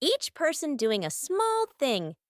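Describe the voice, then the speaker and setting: A woman's voice: high-pitched, huge pitch swings, slow pace, no echo, studio quality. A woman narrating a children's cartoon from a script, in short, simple storybook sentences.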